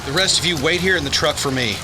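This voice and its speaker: An actor playing a level-headed, determined male scientist in a radio drama, thoughtful and measured. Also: a little high, noisy background, lightly acted. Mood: hurried. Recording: dramatic music behind voice.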